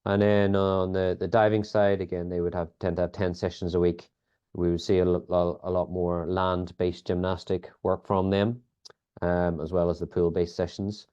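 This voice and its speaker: Northern Irish male guest, deep, mellow tone, measured and full of "um"s. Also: level tone, clean tone. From Northern Ireland. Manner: thoughtful, many fillers and restarts. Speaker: sports biomechanics lead, guest. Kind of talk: conversational interview answers. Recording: dull lo-fi audio.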